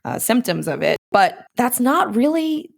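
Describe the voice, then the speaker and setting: An American woman, a comedian guest, talking in casual podcast chat in an animated, self-mocking way. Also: on the high side, unhurried, vocal fry.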